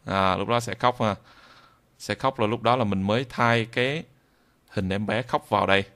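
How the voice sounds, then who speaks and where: A man giving an unscripted software tutorial in a hesitant, halting way, often repeating phrases. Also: fairly low pitch. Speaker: video tutorial presenter.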